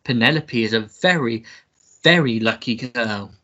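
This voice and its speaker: A woman playing a pompous young gentleman suitor in a melodramatic radio play, with a theatrical, drawn-out manner. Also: extremely low pitch, dull audio, heavily acted. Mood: smug, hearty. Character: pompous young gentleman suitor, self-satisfied, easily outraged.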